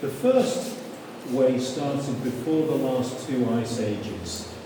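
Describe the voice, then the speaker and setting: A man's voice: medium pitch, expressive, takes it slowly. A man guiding a group through a cave, chatting easily and asking the visitors questions as he explains. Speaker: cave tour guide. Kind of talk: guided tour talk to a group. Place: inside a limestone show cave. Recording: echoey cave, distant camera mic, noisy.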